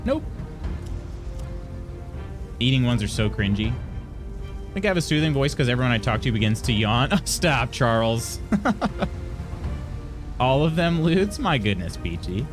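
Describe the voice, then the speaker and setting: A young adult man streaming live, reading out chat messages and answering them in a halting, chatty way with frequent restarts. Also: mid-range voice, sing-song, music in the background.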